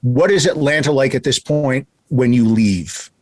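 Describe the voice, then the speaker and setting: A male radio host who asks his questions in a loose, conversational way and likes to rib his guests. His voice sits at an ordinary middle pitch, and the recording is dry and close with no room sound. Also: unhurried, a little mellow, no echo. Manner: joking, teasing. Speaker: radio host interviewing a band. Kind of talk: casual interview questions.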